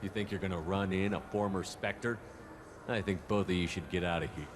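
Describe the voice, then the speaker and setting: An actor playing an assertive military investigator in a video game, direct and firm. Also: male voice, deep voice, fairly even intonation, a little fast, noisy background, close to an everyday voice. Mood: confronting.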